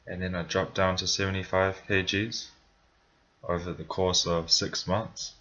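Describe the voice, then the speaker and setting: A man's voice: sits low, level tone, measured pace, bright, forceful tone, dull audio. A young man from New Zealand with a New Zealand accent, telling a personal story in a rambling, casual way, full of fillers and restarts. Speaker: vlogger.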